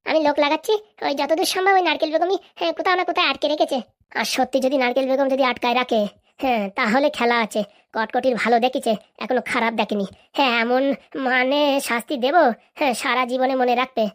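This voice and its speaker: A woman's voice: high-pitched, steady tone, fast, close to an everyday voice. A woman voicing a scheming, ambitious village madam in a comic cartoon drama, talking like a conspirator. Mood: vengeful.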